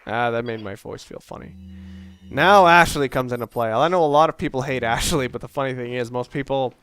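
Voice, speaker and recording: A man's voice: medium pitch, fairly quick, fairly bright tone, a little hiss or hum. A young man giving casual, rambling gameplay commentary, swearing often and poking fun at himself. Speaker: let's play commentator.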